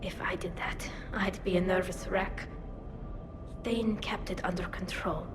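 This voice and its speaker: An actress playing an earnest, self-doubting crewmate remembering a friend in a video game cutscene. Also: female voice, lowish voice, steady tone, unhurried, noisy background, natural voice. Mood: wistful, subdued. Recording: echoey voice with music behind.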